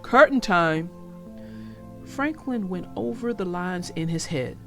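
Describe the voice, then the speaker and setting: A woman narrating a read-aloud story for young children with deliberate, emphatic phrasing. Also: on the low side, lively intonation, soft background music.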